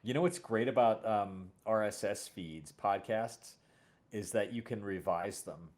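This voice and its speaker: A man hosting a casual conversation as a series creator, thinking aloud in a halting way and often correcting himself. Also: lowish voice, a little mellow. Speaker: host and audio drama series creator. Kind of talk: casual interview chat.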